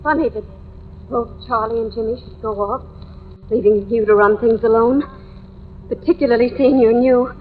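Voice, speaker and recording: A woman's voice: a little high, fairly even intonation, normal speed, pauses often, slight background noise, close to an everyday voice. An actress playing a jittery, suspicious married woman in an old-time radio thriller, nervous and hesitant in her speech. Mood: nervous, wary. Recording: dull lo-fi old radio recording.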